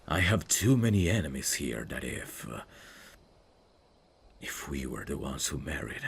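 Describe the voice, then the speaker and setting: A man playing a brooding evil prince, tender and sorrowfully noble, speaking in a slow, formal, wistful way for an intimate ASMR fantasy roleplay. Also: very deep voice, vocal fry, slight background noise, heavily acted. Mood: resigned, grave.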